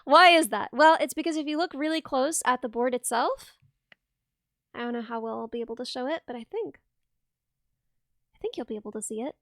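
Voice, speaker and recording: Bubbly high-voiced woman streamer. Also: animated, fairly quick, vocal fry, pauses often, fairly bright tone, no echo, studio quality. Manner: bubbly, laughs often, explains step by step. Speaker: streamer hosting a soldering stream. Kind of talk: casual live-stream chat and explanation.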